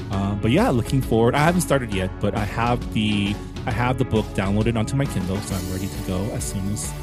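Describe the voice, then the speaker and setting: A man hosting a podcast in a casual, conversational style. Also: on the low side. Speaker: podcast host.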